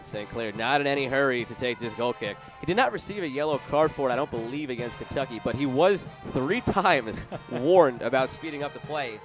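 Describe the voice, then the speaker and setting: A man works as color commentator on a live soccer radio broadcast, talking quickly in a mid-range voice. He explains the play in a conversational way, with clear outdoor stadium sound behind him. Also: medium pitch, over music.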